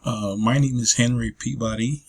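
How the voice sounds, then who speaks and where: A man, giving a personal testimony talk as a guest speaker, hesitant with many drawn-out fillers and restarts. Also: medium pitch, steady tone, darkish tone, slightly rough. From Liberia. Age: 40s.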